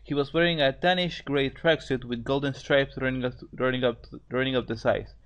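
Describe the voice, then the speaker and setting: A man's voice: medium pitch, steady tone, brisk, a bit bright. A man narrating fan fiction in an amateur, casual way, often stumbling over phrases and repeating them. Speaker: story narrator, co-host.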